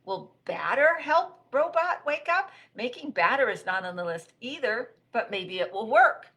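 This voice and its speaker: A woman voicing an excitable, bossy male rabbit in a children's story, emphatic and fretful. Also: on the high side, unhurried, dull audio, pitched above the performer's own voice. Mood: fretful, puzzled. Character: excitable, bossy male rabbit, list-obsessed and easily panicked.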